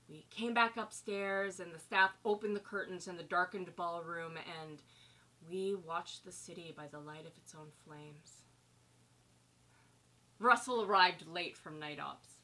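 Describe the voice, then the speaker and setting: A woman's voice: on the low side, bright, forceful tone, poor audio. A woman acting a wistful war bride in a dramatic stage monologue, reflective and confiding as she remembers wartime.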